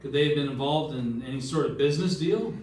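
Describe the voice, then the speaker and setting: A man's voice: medium pitch, fast, a little mellow, slight background noise, lightly acted. A man playing a dogged, wry insurance investigator in an old-time radio detective drama. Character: Johnny Dollar, dogged male insurance investigator, wry and probing. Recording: echoey stage, distant mic, faint music.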